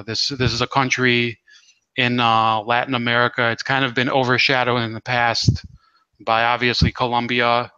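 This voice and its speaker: A man hosting a casual interview in a halting way, as if thinking aloud. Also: mid-range voice, sharp tone, clear, resonant tone, dry recording, highs cut off. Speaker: interview host.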